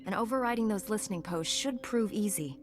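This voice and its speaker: Woman playing a composed heroine over loud music. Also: medium pitch, a little fast, close to an everyday voice. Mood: calm, resolute. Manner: composed, earnest, formal. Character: calm, resolute female Jedi knight hero. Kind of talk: video game hero dialogue.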